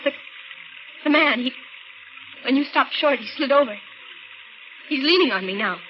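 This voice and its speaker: A woman's voice: sits high, lively intonation, normal speed. An actress playing an anxious, pleading woman in an old radio thriller, hesitant and melodramatic. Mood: nervous. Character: anxious, frightened, pleading female stranded passenger. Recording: very noisy, muffled vintage radio recording.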